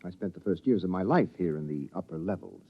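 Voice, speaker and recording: An actor playing an educated, sensitive and bitter outcast mutant in 1950s radio drama, with theatrical, ironic, deliberate phrasing. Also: male voice, low voice, expressive, breathy edge, exaggerated voice. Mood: wry. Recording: muffled old radio recording.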